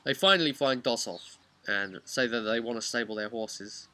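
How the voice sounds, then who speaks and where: A man speaking as a game master, casually recapping a tabletop role-playing session in a halting, conversational way with emphasis on key words. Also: mid-range voice, pushed and bright, a little hiss or hum.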